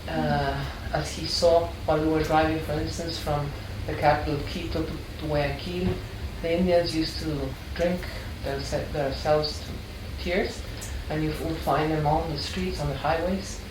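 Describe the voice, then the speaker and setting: Older lady, low voice, earnest storytelling, sounds far off in a roomy space w/ background hum. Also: fairly even intonation, a little slow, hardly any pauses. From Ecuador. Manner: reflective, earnest, with frequent restarts. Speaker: art history teacher. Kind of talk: personal storytelling interview. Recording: echoey room, mic set back, background noise.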